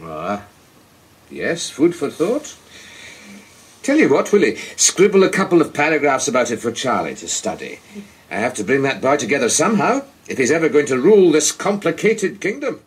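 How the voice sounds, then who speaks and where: A man's voice: medium pitch, sing-song, normal speed, husky. An actor playing a genial, talkative king of England in a radio play, with a theatrical, condescending manner. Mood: musing, affable. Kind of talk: broadcast radio play acting.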